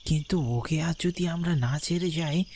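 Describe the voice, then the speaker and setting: A man acts out a wary young man from a horror cartoon, out to save his own skin. His pitch is fairly high, and faint night-insect noise sits behind him. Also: moderate pace, darkish tone, close to an everyday voice. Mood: hushed urgency.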